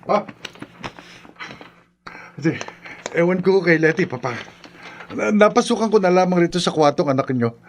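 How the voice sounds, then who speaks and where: High-voiced, sceptical husband. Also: male voice, animated, moderate pace, long pauses, slight background noise. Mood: flustered. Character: dismissive, sceptical husband of haunted woman. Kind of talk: Filipino radio drama acting.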